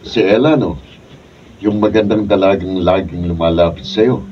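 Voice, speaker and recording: A fairly low Filipino male voice, an actor playing a straight-talking, encouraging friend in a radio drama. The sound is muffled and a bit far off. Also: normal speed, natural voice. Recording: muffled, distant mic, faint noise.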